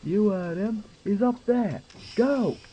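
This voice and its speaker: A man voicing a jumpy, complaining low-ranking guard in an audio drama, with an agitated, whining manner. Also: slow pace, lo-fi sound, noisy background. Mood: alarmed, shouting.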